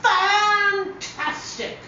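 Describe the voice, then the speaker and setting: A woman's voice: extremely high pitch, very slow, sharp tone, a little hiss or hum, pitched above the performer's own voice, exaggerated voice. A woman voicing a thrifty, proud elderly widower courting with emphatic declarations, in a dramatic story reading. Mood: proud, enthusiastic. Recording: very echoey room, distant mic, slightly dull.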